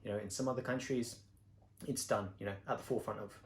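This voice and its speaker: A man's voice: mid-range voice, fairly even intonation, quick pace. A man, hosting a casual interview with playful, joking banter. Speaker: host interviewing his partner. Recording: echoey room.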